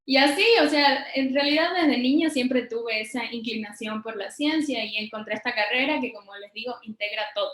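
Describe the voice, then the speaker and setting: A woman's voice: fairly high pitch, a little fast, few pauses, fairly bright tone. A woman from Cuba answering interview questions informally, explaining things directly to her listeners as a scientist guest. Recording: roomy, echoing sound.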